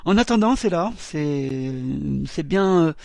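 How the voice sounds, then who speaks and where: A man's voice: high-pitched, expressive, slow pace, a little mellow, no echo, studio quality. A man hosting a small web radio show, talking in a mocking, defiant way with many hesitations. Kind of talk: commenting on recorded CB radio chatter.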